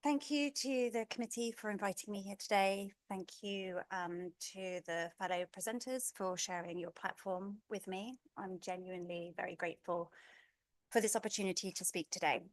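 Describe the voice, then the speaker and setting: A middle-aged woman with an English accent gives a prepared talk as a community speaker, earnest and measured, a little nervous. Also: medium pitch, no room sound. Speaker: community speaker, parent advocate.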